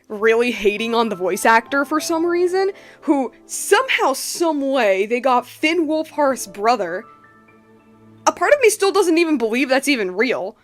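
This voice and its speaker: Female livestream host, higher-pitched, informal and meandering delivery, faint music bed. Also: fairly bright tone. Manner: rambling, informal, circling back on phrases. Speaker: game streamer, host. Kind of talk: casual livestream chat.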